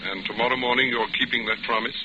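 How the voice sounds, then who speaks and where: An actor playing a smooth, scheming fugitive in a 1940s radio drama, polite, insinuating and self-assured. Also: male voice, mid-range voice, fairly even intonation, quick pace, close to an everyday voice. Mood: politely probing. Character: smooth, scheming male fugitive, coolly persuasive. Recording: muffled, noisy vintage radio recording.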